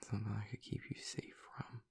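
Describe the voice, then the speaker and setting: A man playing a guarded, vulnerable, grieving werewolf boyfriend in an intimate audio roleplay, speaking in a halting, breathy, gravelly whisper. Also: a little mellow, natural voice. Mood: guarded, reluctant. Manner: halting, reluctant, haltingly confessional.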